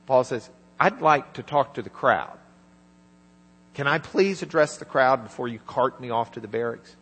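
A man preaching a sermon, retelling a scripture story in a conversational way and quietly acting out the lines of its people. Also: a little high. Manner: conversational, acts out dialogue in quiet asides. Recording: reverberant hall with mains hum.

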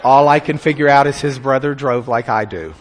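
A man's voice: mid-range voice, fairly quick, a little hiss or hum. A man with a Texan accent giving a folksy, self-deprecating church talk about a writer's conversion, often repeating words as he builds a point. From Texas. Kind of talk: sermon-style talk with slides.

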